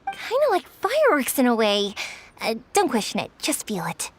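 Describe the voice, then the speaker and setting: A woman's voice: on the high side, huge pitch swings, moderate pace, a little hiss or hum. A woman playing an upbeat young fireworks maker in a video game, with a playful, breezy manner.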